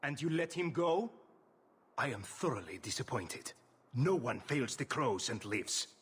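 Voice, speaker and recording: A man's voice: medium pitch, expressive, a little slow, a bit bright, breathy edge, heavily acted. A man voicing a stern, vengeful assassin villain in a video game. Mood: menacing contempt. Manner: stern, threatening. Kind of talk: video game dialogue acting.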